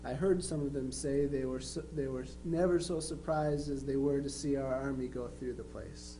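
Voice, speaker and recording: A man playing a plainspoken twenty-three-year-old Union soldier, reading his affectionate letters home in an unhurried, matter-of-fact way. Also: mid-range voice, fairly even intonation, mellow, close to an everyday voice. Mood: reflective, matter-of-fact. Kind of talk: dramatized reading of historical letters. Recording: faintly noisy live recording with hum.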